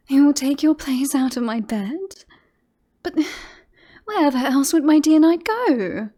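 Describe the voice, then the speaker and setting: A woman acts out a romantic, self-satisfied royal in a fantasy ASMR roleplay. The voice is fairly high and mellow, very slow, with sultry teasing and whispery, sighing phrases. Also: lively intonation. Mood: playfully indignant. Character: flirtatious, smug, romantic royal teasing a beloved knight.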